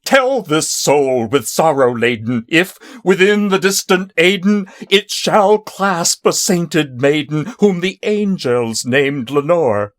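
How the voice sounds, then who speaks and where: Male reader as tormented gothic mourner, impassioned and pleading, pitched high, theatrical declamation, dry recording. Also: no echo. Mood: anguished pleading. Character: tormented, grieving man haunted by a raven.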